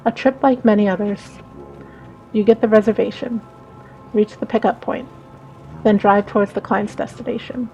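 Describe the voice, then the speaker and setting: A woman's voice: medium pitch, fairly even intonation, moderate pace, dark tone, poor audio. A woman reading a visual novel aloud as a dramatic narration. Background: background music.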